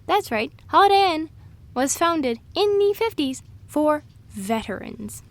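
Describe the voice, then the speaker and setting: A woman with a high voice talks in a loose, rambling way. She is chatty and scatterbrained, jumping along casually with an amused tone, as in an informal audio roleplay. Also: big pitch swings, unhurried. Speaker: audio roleplay performer playing a rambling girlfriend. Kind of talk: casual rambling monologue of trivia.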